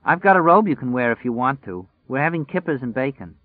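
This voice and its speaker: A man voicing a strident young woman from the Bronx with a New York accent, shrill and whiny, in a slightly dull lo-fi recording. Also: lively intonation, fast, dry recording, close to an everyday voice. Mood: indignant.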